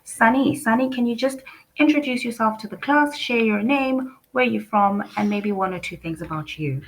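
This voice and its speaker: A woman's voice: on the high side, normal speed, a little hiss or hum, poor audio. A woman teaching an online English class over a video call, encouraging and giving detailed feedback.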